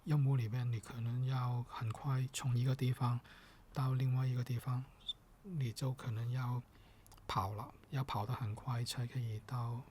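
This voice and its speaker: A man speaking gently and patiently in soft, partly whispered ASMR, with hesitant, step-by-step phrasing. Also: mid-range voice, little pitch movement, normal speed, a little hiss or hum. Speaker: ASMR performer.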